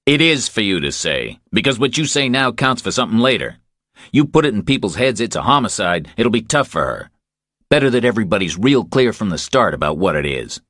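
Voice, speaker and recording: A man's voice: fairly low pitch, very animated, fairly quick, fairly bright tone, dry recording, clean studio sound, pitched above the performer's own voice. A man voicing a blunt, terse former military policeman in an audiobook, speaking with urgent insistence. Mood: urgent, insistent.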